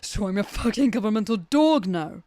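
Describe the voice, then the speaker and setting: A woman's voice: medium pitch, lively intonation, moderate pace, warm tone, dry recording, lightly acted. A woman playing a roguish assassin in an ASMR audio drama, cheeky and dramatic, with a wheedling way of talking. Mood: frustrated. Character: roguish, pleading assassin, the queen's troublesome cousin. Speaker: voice actor in an audio roleplay.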